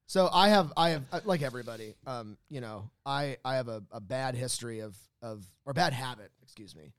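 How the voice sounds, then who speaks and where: A man's voice: medium pitch, a little fast, a bit bright, smooth. A man hosting a podcast in casual banter, rambling, jokey and a bit profane. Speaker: podcast host. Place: recorded at a theater company's space.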